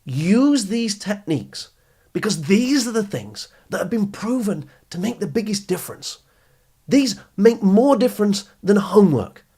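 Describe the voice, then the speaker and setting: Husky, higher-pitched man hosting a podcast. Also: big pitch swings, measured pace, dark tone. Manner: encouraging, instructional, emphatic phrasing. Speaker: podcast host and education speaker. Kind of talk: scripted podcast narration, addressing listeners directly.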